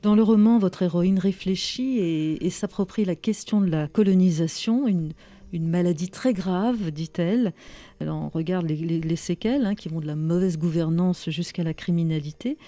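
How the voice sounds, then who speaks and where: Dark-voiced woman interviewer. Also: on the low side, dry recording. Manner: measured, probing questions. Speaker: radio interviewer. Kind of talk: literary interview questions.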